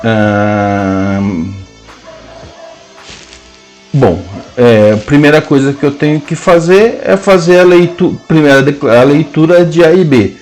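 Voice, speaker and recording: A man with a Brazilian Portuguese accent teaching a programming lesson, dictating code symbol by symbol with hesitant pauses. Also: fairly high pitch, a little mellow, soft background music. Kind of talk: slow screen-cast coding lesson, dictating code aloud.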